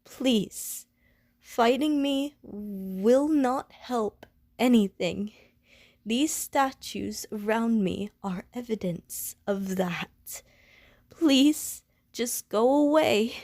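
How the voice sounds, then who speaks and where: A woman playing a gentle, anxious, lonely Medusa who is wary of humans, speaking in careful, formal wording for an ASMR audio roleplay. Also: a little high, extremely slow, no echo, clean studio sound, full character voice. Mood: pleading. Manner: formal, careful, stilted wording, pleading and apologetic.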